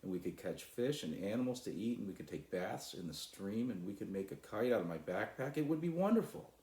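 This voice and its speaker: A man voicing an excitable, dreamy young boy in a children's storybook, exclaiming eagerly. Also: fairly low pitch, fairly quick, echoey room, rough recording. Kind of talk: children's storybook read aloud.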